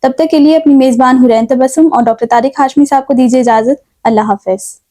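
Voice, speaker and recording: A woman's voice: high-pitched, mellow. A woman with a Pakistani accent hosting a talk show, addressing guest and viewers in a courteous, formal way.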